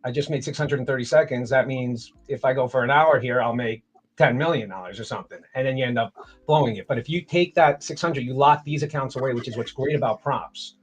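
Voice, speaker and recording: A man's voice: medium pitch, a little fast, slightly rough. Laid-back middle-aged man, rambling. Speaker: guest trader. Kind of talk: casual livestream chat.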